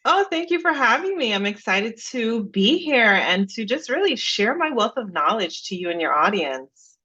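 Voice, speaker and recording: A woman's voice: a little high, normal speed, slightly rough, lo-fi sound. Woman with a slight Jamaican-American accent. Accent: slight Jamaican over American. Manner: lively storyteller with frequent "you know" asides. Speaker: guest dietitian. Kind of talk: casual podcast interview.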